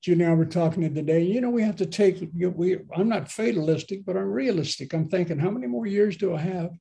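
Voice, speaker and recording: A man's voice: high-pitched, fast, vocal fry, dark tone. Older man preaching over a video call. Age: 70s. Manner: conversational, rambling preaching with asides and questions to listeners. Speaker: pastor. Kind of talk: sermon. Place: home office by a window. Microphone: laptop webcam on a video call.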